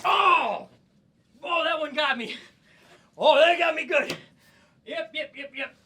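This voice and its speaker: A man's voice: very high voice, takes it slowly, very noisy. A man playing a cheap vampire TV salesman in a parody infomercial, brash, folksy and easily exasperated. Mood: pained, panicked.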